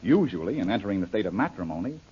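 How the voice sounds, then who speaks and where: A husky, blunt radio adventure hero. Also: male voice, fairly low pitch, very animated, racing, warm tone, exaggerated voice. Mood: skeptical. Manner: wry, blunt, folksy slang. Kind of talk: old-time radio serial acting. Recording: dull, lo-fi old radio recording.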